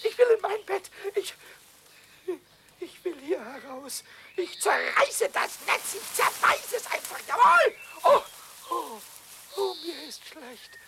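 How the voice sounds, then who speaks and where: A man voicing a cheeky, excitable little male goblin in a 1970s radio play, stammering and wailing dramatically with a lilt. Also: very high-pitched, takes it slowly, gravelly, slight background noise, exaggerated voice.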